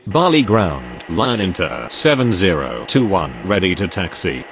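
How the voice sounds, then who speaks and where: Deep, varied man acting as airport radio operator. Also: very animated, unhurried, slight background noise. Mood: businesslike. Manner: formal, procedural. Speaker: air traffic radio voice. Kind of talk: clipped aviation radio phraseology.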